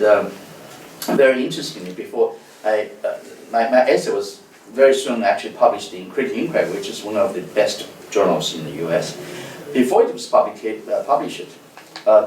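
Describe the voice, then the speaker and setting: A man gives a university lecture as a guest lecturer, telling stories in an animated way with many fillers and restarts. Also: fairly low pitch, slight background noise. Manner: lively storytelling, frequent fillers and restarts. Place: lecture hall. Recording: echoey hall, distant mic.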